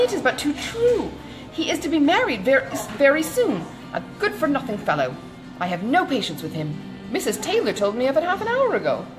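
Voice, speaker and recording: A woman voicing a kindly, gossipy, indignant older gentlewoman in an audiobook of a classic novel, chattering with dramatic exclamations. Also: sits high, pitched above the performer's own voice, low-quality recording. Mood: compassionate, indignant.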